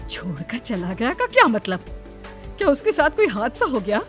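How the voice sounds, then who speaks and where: A woman's voice: fairly high pitch, very animated, normal speed, faint music behind, voice pushed up. A woman voicing a concerned, easily startled aunt in her sixties for a horror cartoon, anxious and motherly as she questions. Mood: shocked, concerned. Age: 60s.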